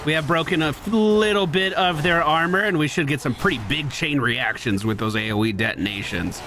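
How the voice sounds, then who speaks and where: A man's voice: on the high side, brisk, bright, forceful tone, slight background noise. A man giving casual gameplay commentary as host of a gaming video. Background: video game sounds.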